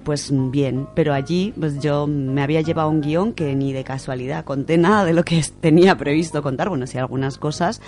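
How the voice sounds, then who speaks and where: A male podcast co-host with an accent from Spain and a slightly high voice. He chats casually and enthusiastically, with some music behind him. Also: a little fast, few pauses, darkish tone. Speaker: podcast co-host presenting a literature section. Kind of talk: casual radio chat.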